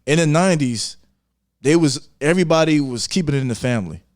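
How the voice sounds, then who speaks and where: A man's voice: on the high side, lively intonation, quick pace, a little mellow. An American man chatting casually as a podcast guest, blunt and informal with his opinions. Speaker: podcast guest discussing music.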